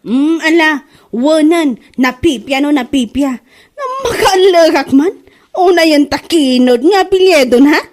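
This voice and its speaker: A woman's voice: high-pitched, measured pace, a little mellow. A woman playing a mocking, incredulous female cousin in a comedy drama. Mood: mocking, amused.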